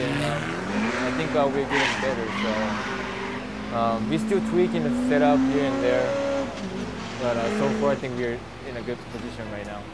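A man in his thirties, a professional drift driver, giving a relaxed and halting outdoor interview on a lapel mic at a racetrack paddock. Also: medium pitch. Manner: relaxed, halting, many fillers. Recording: noisy outdoor racetrack recording.

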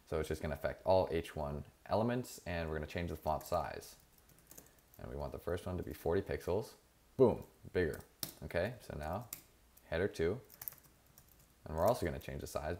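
A man narrating a screen-recorded coding tutorial in a casual, relaxed way, with frequent fillers and self-corrections. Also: low-pitched. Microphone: voice-over over screen recording.

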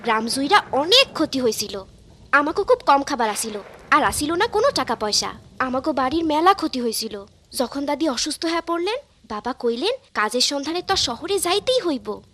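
A woman voicing an earnest, gentle young village girl telling her story in a cartoon, with a rural Bangladeshi accent. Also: sits high, very animated, moderate pace, exaggerated voice. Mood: reminiscing, earnest.

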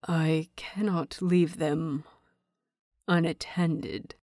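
A woman's voice: sits low, fairly even intonation, drawn-out words, dark tone, no room sound, clean studio sound, pitched below the performer's own voice, heavily acted. A woman voicing a stern old male master in a story, terse and reluctant, as if each word is forced out. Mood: bitter, reluctant.

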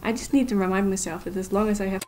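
A woman's voice: mid-range voice, fairly even intonation, rapid-fire, a little mellow, a little hiss or hum, lightly acted. An actress playing a hurt but determined young woman in an audio drama, confiding and emotional. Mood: anxious, reflective. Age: young adult.

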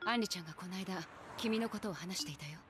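A woman voicing a cool, composed adult female supernatural character in understated anime dialogue, calm and measured. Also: on the low side, over music, lightly acted. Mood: calm, concerned.

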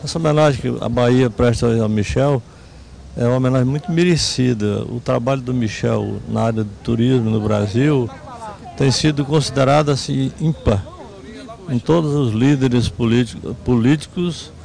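A man speaks as a TV interviewee paying tribute. His voice is creaky and gravelly, at a middling pitch. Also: moderate pace, darkish tone.

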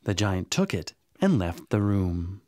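A man narrating a scripted children's bedtime story in a dramatic, expressive way. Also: low voice, a little slow, slight background noise. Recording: music under the narration. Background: music.

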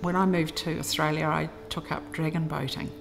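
A woman's voice: low-pitched, little pitch movement, moderate pace, creaky. A middle-aged woman telling stories about her daughter in a documentary interview. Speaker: athlete's mother. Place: room lined with framed photos. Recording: music underneath.

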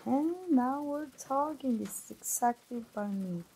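A woman's voice: fairly high pitch, a little slow, warm tone, slight background noise, rough recording. A woman, voicing a chatty, teasing young best friend in an ASMR roleplay, playful and familiar, as if speaking straight to the listener. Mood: teasing, friendly. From Mexico. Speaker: voice actor.

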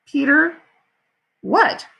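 Woman acting a high-voiced harried mom. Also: animated, very slow, vocal fry, sharp tone, full character voice. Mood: coaxing. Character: harried, coaxing mother of young boys.

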